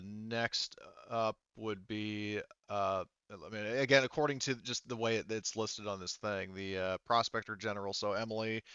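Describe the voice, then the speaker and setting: Bright, clear-voiced man running a game. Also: on the low side, dry recording, muffled recording. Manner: halting, thinking aloud. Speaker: game master. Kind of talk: running a tabletop roleplaying game session, casual.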